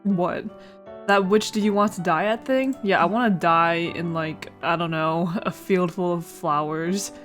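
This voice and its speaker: A woman's voice: lowish voice, unhurried. A woman hosting a casual let's play, reading a visual novel aloud with playful asides and stumbles over words. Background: music.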